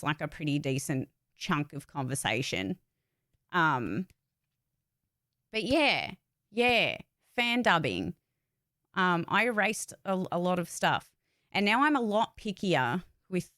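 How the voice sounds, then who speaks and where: A woman with a fairly low voice talks in a casual, candid livestream style. She is blunt and emphatic and swears casually. The sound is dry and close, with very little room in it. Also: fairly bright tone, dry recording. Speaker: voice actor and channel host.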